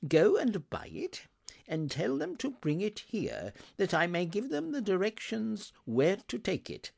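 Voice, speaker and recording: A man's voice: high voice, huge pitch swings, a little slow, vocal fry, voice pushed up, full character voice. A man voicing a giddy, delighted old reformed miser in a storybook reading, effusive and eager. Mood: excited.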